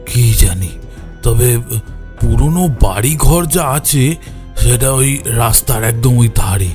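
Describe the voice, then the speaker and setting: Older wandering ascetic, unhurried and enigmatic, audio drama, music underneath. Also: male voice, mid-range voice, animated, a little mellow. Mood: guarded, cryptic. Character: bearded elderly holy man at a cremation ground.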